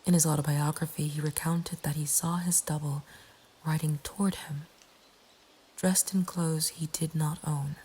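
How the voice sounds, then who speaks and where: Slow, low, whispering woman narrator. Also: steady tone. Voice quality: breathy, whispered. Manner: slow, hushed, deliberate delivery. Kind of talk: whispered ASMR horror storytelling, scripted narration. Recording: faint ambient background noise. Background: soft nature ambience.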